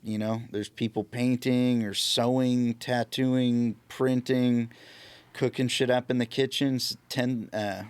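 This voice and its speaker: A man with an average-pitched voice talks as a guest on a podcast. His delivery is loose and jokey, and the sound is dry with no room echo. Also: flat delivery, slow, clear, resonant tone. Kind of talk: casual, joking chat.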